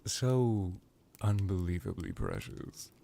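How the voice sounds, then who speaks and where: Man playing a low, gravelly suave vampire lord. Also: wildly swooping pitch, dark tone, slight background noise, exaggerated voice. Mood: smug, fond. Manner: languid, hesitant musing, drawn-out words, drops into whispers. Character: male vampire lord, suave, menacing, condescendingly affectionate. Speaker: character roleplay performer. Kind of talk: ASMR villain roleplay monologue.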